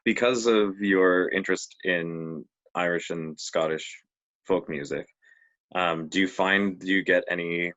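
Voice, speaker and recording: A man from Canada hosting a podcast, asking relaxed questions in casual chat. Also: sits low, a little slow. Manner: relaxed, asks questions with short agreeing replies. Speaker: podcast host. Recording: dull lo-fi audio.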